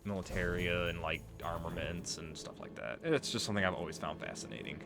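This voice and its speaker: Low-pitched man rambling through a game. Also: fairly quick, vocal fry. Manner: rambling, tangent-prone, explanatory. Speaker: let's player, video game commentator. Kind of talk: casual let's play commentary. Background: video game music.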